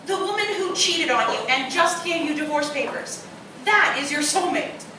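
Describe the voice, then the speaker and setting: A woman's voice: high-pitched, lively intonation, normal speed, bright, forceful tone. A woman acting in a live radio drama, playing a blunt, skeptical woman who still hopes for love. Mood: challenging, exasperated. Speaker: actor. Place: roomy live performance space, far from mic. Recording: very echoey, distant live recording, clear noise.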